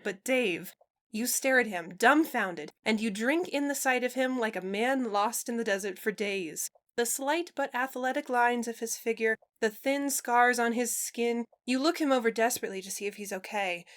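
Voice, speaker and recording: Bright, higher-pitched woman narrating fiction. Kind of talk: expressive scripted story narration.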